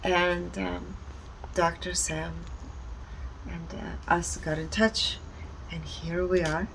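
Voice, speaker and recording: A woman giving a conversational, explanatory presentation in deliberate short phrases. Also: lowish voice, little pitch movement, creaky, a bit bright, poor audio. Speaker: speaker presenting energy readings. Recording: background ambient noise.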